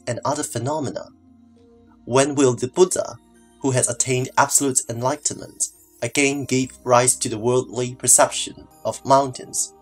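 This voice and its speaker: Man acting reverent male disciple, earnest, formal, middle pitch, audio drama, light music behind. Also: lightly acted, poor audio. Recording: background music under the voice.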